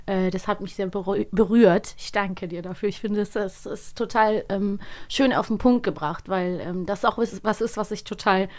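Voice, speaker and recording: A young woman co-hosting a podcast interview, speaking warmly and hesitantly with many fillers. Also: mid-range voice, moderate pace, hardly any pauses. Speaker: podcast co-host, voice actress. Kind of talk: interview chat. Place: recording studio.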